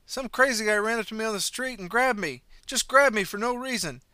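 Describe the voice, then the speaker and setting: A frantic, breathless man pleads and begs, put on by a male storyteller. His voice rides well above the narrator's own in a strained, pitched-up panic. It is bright and dry. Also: brisk, no room sound. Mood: desperate pleading.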